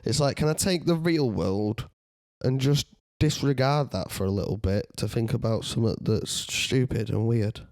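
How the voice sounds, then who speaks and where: A young man in a casual podcast chat, rambling through playful ideas with lots of ums. His voice sits at a middle pitch and sounds dry and close. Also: normal speed, a little mellow, dry recording. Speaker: podcast co-host.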